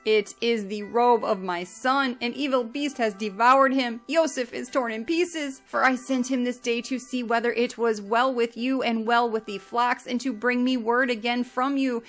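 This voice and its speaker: A heartbroken old father of a storybook tale cries out his lament, his voice climbing high and ringing out loud as a woman reader puts him on. Faint music plays softly behind the reading. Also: moderate pace, hardly any pauses, lightly acted. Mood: anguished. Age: older. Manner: wailing, lamenting.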